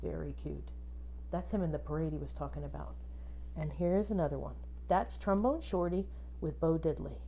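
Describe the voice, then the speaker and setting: A woman reading a children's book aloud expressively, like a teacher, pausing to instruct and put questions to young listeners. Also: low voice, vocal fry, warm tone, slight background noise, rough recording. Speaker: music teacher reading a picture book to pupils.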